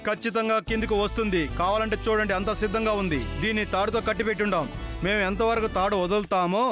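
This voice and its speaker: A man's voice: high-pitched, steady tone, fast talker, music in the background, close to an everyday voice. A man voicing a theatrical, commanding showman villain in a cartoon. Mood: inviting. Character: menacing showman villain, balloon-ride operator. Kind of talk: cartoon dub acting.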